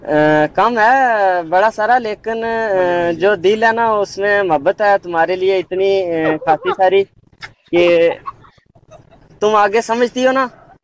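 A man with a Punjabi accent talking casually and flirtatiously in a halting, wheedling drawl on a recorded phone call. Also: high voice, clean tone.

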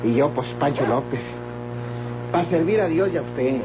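Man acting a fawning, high-voiced Mexican peasant. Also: lively intonation, normal speed, close to an everyday voice. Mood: fawning, pious. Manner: servile, folksy, sanctimonious. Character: humble, pious, fawning peasant labourer from the countryside. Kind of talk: old Mexican radio drama acting. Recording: tinny old radio recording, music behind.

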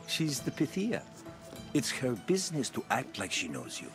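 An actor playing a thoughtful, skeptical middle-aged Greek scholar, measured and earnest, in a video game cutscene. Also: male voice, medium pitch, huge pitch swings, music in the background.

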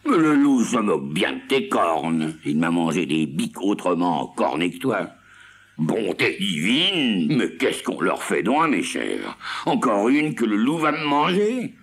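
A man's voice: fairly low pitch, big pitch swings, unhurried, creaky, slightly rough, voice pushed up, full character voice. A man voicing an anxious older farmer, fussy and fond of his goat, exclaiming and fretful. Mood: alarmed.

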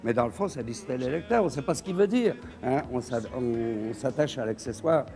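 A man's voice: a little fast, faint music behind. An older man, a political commentator trading teasing remarks on a TV comedy set. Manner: teasing, lively, swings between mock-serious lows and excited highs. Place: TV studio set dressed as a living room.

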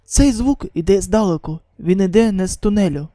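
A woman's voice: medium pitch, animated, fairly quick, dark tone, no room sound, voice pushed down, close to an everyday voice. A woman voicing a curt, cool-headed, commanding man in a manga dub. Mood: curt, controlled. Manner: curt, blunt.

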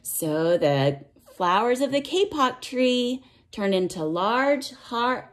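A woman's voice: fairly high pitch, big pitch swings, slow pace. A woman reading a picture book aloud to young children, with sing-song verse and simple explanations and questions. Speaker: read-aloud storyteller for children.

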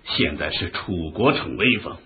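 An actor playing an ambitious, impatient ruler of ancient China in a theatrical, emphatic radio drama style. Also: male voice, deep voice, animated, a little slow. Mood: eager. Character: ambitious, impatient ancient Chinese duke, a ruling lord. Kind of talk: historical radio drama acting. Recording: dull, lo-fi radio drama recording.

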